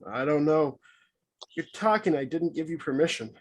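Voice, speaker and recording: A man's voice: a little high, animated, moderate pace. A man playing a cocky, teasing cop in a drama table read. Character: cocky, teasing male cop ribbing a rookie.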